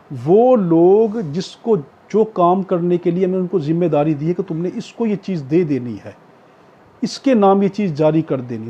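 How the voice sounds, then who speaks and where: A man's voice: fairly high pitch, warm tone, husky. A man with a Pakistani accent giving an explanatory law lecture for exam students, repeating key points and often checking that listeners follow. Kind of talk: exam-prep law lecture with worked examples. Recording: dull lo-fi audio.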